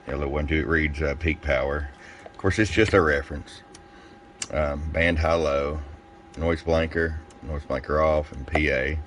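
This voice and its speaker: Very deep-voiced man, casual product walkthrough. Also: a little hiss or hum. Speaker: radio seller demonstrating a product. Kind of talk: casual hands-on product review, step by step.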